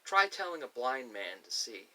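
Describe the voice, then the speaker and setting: A man speaking casually and unscripted to viewers, giving his personal opinions in emphatic, halting, short phrases. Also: mid-range voice, sing-song, pushed and bright. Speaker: video creator giving personal thoughts. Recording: distant mic with faint hiss.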